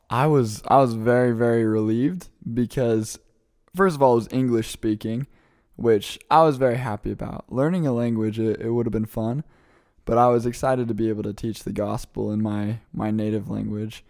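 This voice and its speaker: A man's voice: mid-range voice, fairly even intonation, moderate pace, a little mellow. A young man from the American Midwest, answering interview questions in an eager, chatty way and laughing easily. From Midwest United States. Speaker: guest, returned missionary.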